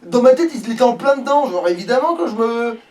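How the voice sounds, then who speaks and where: A man's voice: extremely high pitch, animated, fairly quick, darkish tone. A man doing casual let's play commentary in a grumbling, sweary way. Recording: noisy, distant microphone.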